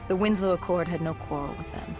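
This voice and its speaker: An actor playing a grim, battle-worn soldier in a video game, recounting an apocalypse in a grave, halting, deliberate way. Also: medium pitch, over music, close to an everyday voice. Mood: grave, tense. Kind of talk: dramatic cinematic narration.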